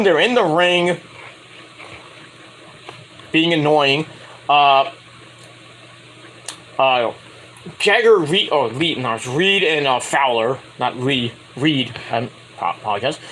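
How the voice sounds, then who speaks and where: A man's voice: a little high, bright, forceful tone, slight background noise, poor audio. A man casually reviewing a wrestling show, rambling and correcting himself, with sarcastic asides while he fights off a cold. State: getting a cold, voice going. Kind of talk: casual solo review recap.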